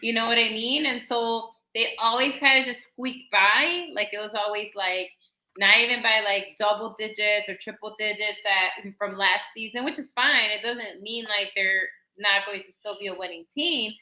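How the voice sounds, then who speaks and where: A woman's voice: medium pitch, normal speed, sharp tone. A woman panelist giving football opinions in casual chat, talking in long runs full of "like" and "you know what I mean". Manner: talkative, filler-heavy, lots of "like" and "you know". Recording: echoey room.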